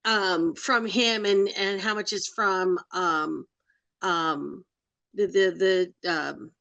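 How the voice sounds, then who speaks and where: Woman, podcast co-host, slow unhurried talk, thoughtful and hesitant. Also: on the low side, fairly even intonation, gravelly, fairly bright tone, muffled recording. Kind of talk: casual discussion.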